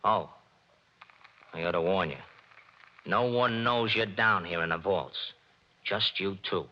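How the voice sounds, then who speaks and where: An actor playing a cocky, wisecracking male private detective in a 1940s radio drama, talking brisk and commanding. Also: sits low. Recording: muffled 1940s radio broadcast.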